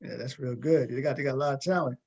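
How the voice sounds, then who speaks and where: A man's voice: mid-range voice, fast talker, a little mellow, husky, lo-fi sound. A man hosting a talk show in a casual, conversational way, often repeating words and phrases as he goes. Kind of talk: casual interviewing.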